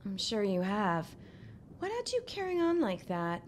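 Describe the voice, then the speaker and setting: A woman's voice: medium pitch, wildly swooping pitch, measured pace. An actress playing a composed, wry young upper-class woman in an audio drama, dry and guarded in manner. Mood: exasperated. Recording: background noise and ambience.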